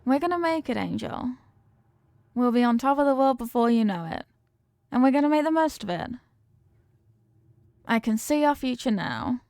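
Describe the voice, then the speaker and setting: A woman's voice: sits high, lively intonation, normal speed, gravelly, pauses often, darkish tone, no echo, studio quality. A woman playing a confident mafia boss girlfriend in an intimate romantic audio roleplay, talking tough but tenderly with affectionate slang. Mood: devoted, promising. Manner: affectionate tough talk with slangy pet names.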